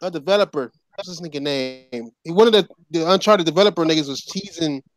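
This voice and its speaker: A man's voice: on the high side, fairly quick. A man co-hosting a podcast in casual gaming chat, laid-back and slangy, often stammering on his words. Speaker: podcast co-host.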